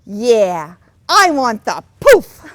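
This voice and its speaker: A woman's voice: fairly high pitch, sing-song, extremely slow, dry recording, exaggerated voice. A woman in a staged play reading, playing a simple, earnest, plain-spoken housewife and mother. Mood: eager. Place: children's theatre stage, filmed staged reading.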